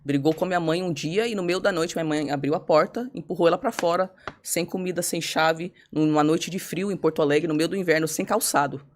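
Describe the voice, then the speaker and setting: A woman's voice: on the low side, a little fast, few pauses, a little hiss or hum. A woman guest on a podcast, telling a personal story candidly and acting out her own past words.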